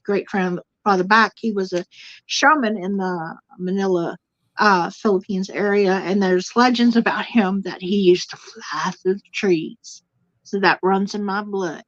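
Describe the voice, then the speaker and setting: Animated woman guest, dull dry sound. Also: medium pitch, no echo. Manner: animated, repeats words for emphasis, speaks to viewers directly. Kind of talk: casual spiritual chat.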